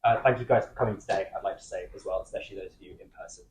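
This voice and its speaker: Fast-talking young male debate moderator. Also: fairly low pitch, steady tone, a little mellow, echoey room, slight background noise. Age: young adult. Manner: quick, slightly halting with fillers. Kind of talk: hosting a student debate.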